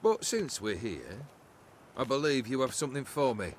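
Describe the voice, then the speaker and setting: An actor playing a proud, wistful cursed immortal nobleman in a video game, with theatrical, measured delivery over music. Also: mid-range voice, big pitch swings, slight background noise, lightly acted. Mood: wary, haughty.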